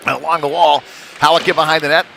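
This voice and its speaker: A man's voice: a little high, fairly even intonation, fast, sharp tone. A man giving casual, unpolished live play-by-play commentary on a youth hockey game, his delivery rising with the action. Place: cold ice rink during a live stream. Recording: clear venue noise behind the voice.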